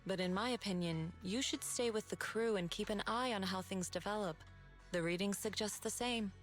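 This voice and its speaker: A woman's voice: mid-range voice, moderate pace, close to an everyday voice. A woman playing a composed, playful mystic master who dotes on a pupil in an anime-style game. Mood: fond, composed. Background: faint game music.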